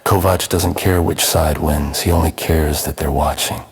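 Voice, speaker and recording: A man narrates dark noir fiction in an extremely deep voice that is heavily husky and raspy. He keeps a flat, measured tone, delivering short, ominous sentences. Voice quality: very husky, gravelly rasp. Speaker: narrator of a neo-noir audio drama.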